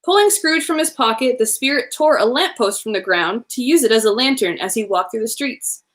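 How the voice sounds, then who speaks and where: A woman reading a children's Christmas storybook aloud in an expressive, animated way, with playful asides. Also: fairly high pitch, a little fast.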